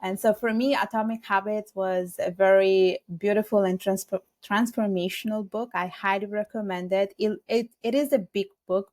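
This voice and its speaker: A woman's voice: mid-range voice, a little mellow, no room sound. A woman from Italy whose first language is Bengali, a podcast guest talking in an earnest, reflective way and giving advice. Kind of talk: casual interview chat.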